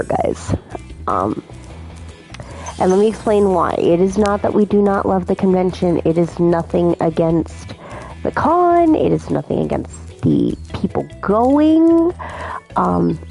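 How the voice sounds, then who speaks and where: A chatty woman in her thirties rambles through her gameplay in a low, mellow voice. She talks casually and apologizes often, and her voice sounds a little off from a recent illness. Faint music plays underneath. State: slightly off voice from illness. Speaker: gaming channel host. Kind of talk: casual let's play commentary.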